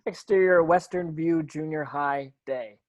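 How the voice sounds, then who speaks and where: A woman's voice: low-pitched, measured pace, a little mellow, no room sound. A woman reading script stage directions as narration, with occasional stumbles over the words.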